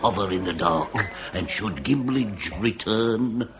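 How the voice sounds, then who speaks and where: A shrewd, jovial inspector of a bygone age in a comic radio play. He speaks slowly in grand, wordy phrases with a widely swooping pitch. A busy crowd murmurs behind him. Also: lively intonation. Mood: shrewd, genial. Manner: old-fashioned wordy speech, hesitant asides, chuckling. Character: jovial, shrewd male police inspector, fond of gin. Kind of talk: comic period radio drama.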